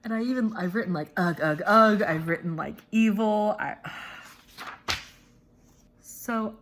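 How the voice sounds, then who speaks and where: A woman talking casually and expressively to her vlog, giving strong opinions in a dramatizing way. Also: mid-range voice. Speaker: reading vlog host. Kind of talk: casual first-person vlog chat. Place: inside a car on a road trip.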